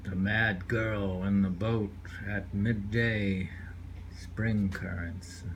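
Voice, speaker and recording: Slow, low-voiced man reading haiku. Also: steady tone, slight background noise. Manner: unhurried, musing, often repeats phrases. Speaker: poetry reader. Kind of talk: reading haiku aloud with casual musing asides.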